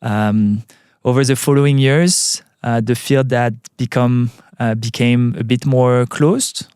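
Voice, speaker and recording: A man's voice: mid-range voice, darkish tone, clean tone, no room sound, studio quality. A middle-aged man from France with a French accent, answering interview questions thoughtfully, with many fillers and restarts. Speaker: tech CEO guest. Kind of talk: podcast interview.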